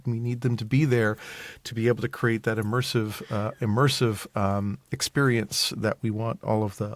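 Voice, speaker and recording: Man, creaky mid-pitched voice, halting wordy explanations, casual interview, dry sound. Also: no room sound. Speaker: audio drama producer, interview guest.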